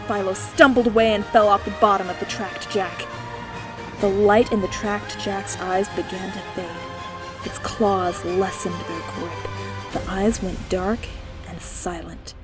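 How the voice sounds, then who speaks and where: Woman narrating a noir audiobook. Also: medium pitch. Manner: steady, clear storytelling. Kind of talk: scripted narration.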